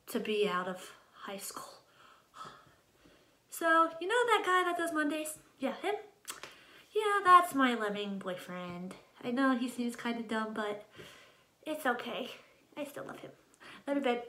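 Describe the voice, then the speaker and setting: A woman's voice: on the high side, sharp tone. A nineteen-year-old American woman chatting casually to camera in a rambling way, often interrupting herself. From Ohio, United States. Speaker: channel host introducing herself. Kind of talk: casual vlog chat to camera. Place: small room at home, indoors. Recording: echoey untreated room.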